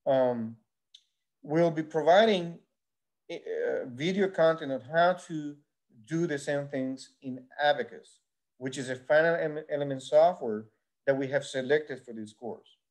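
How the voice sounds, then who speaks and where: A man's voice: a little high, a little mellow. Male engineering lecturer. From Puerto Rico. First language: Spanish. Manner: measured explanatory delivery with frequent "uh" fillers. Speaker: university instructor. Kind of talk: recorded course lecture.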